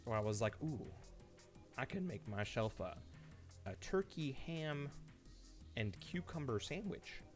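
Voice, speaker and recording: A man streaming live, chatting casually to viewers and telling stories in an animated way, acting out his reactions. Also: medium pitch, a little slow, long pauses. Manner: animated storytelling, mimics his own reactions; laughs easily while talking. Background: background music.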